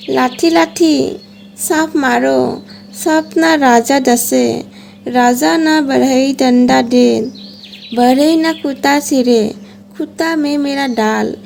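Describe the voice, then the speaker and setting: A woman's voice: high-pitched, slow pace, gravelly, darkish tone, slight background noise, full character voice. A woman voicing a small hungry female bird in a children's cartoon story, pleading in chanted, repetitive rhymes. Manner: chanting, sing-song repeated pleas.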